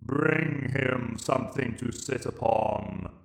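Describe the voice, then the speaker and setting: A man voicing a cold, slow alien machine emperor that talks in a flat, deliberate monotone. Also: medium pitch, creaky, reverberant.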